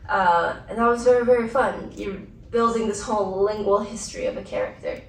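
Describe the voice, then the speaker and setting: A woman's voice: mid-range voice, a little fast. A woman, an actress, answering questions at a post-screening Q&A, speaking in an articulate, reflective way with the odd light laugh. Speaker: actress, festival guest. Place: large theater auditorium. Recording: roomy theater sound from a distance, faint hum.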